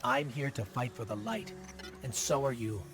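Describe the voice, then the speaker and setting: A small, loyal male robot companion from a video game voiced by a man, mid-pitched and earnest. He sounds anxious, with music playing clearly behind him. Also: moderate pace, lightly acted. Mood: loyal, uneasy. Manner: earnest, worried. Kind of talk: video game story dialogue.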